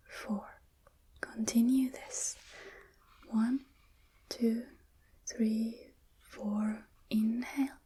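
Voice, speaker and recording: Calm female breathing instructor, whispered delivery, slow counts, mellow and soft. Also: fairly high pitch, slightly rough. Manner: calm, counts slowly aloud. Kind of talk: whispered guided breathing lesson.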